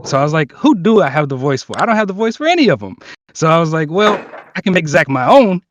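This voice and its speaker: A man's voice: on the high side, sing-song, fast. Noisy-mic man chatting casually. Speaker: voice actor, cast member. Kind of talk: casual anecdote in group chat. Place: online voice call.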